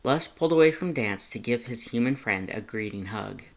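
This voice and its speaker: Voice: mid-range voice, expressive, normal speed, creaky. A narrator reading a scripted fan fiction story and voicing its characters.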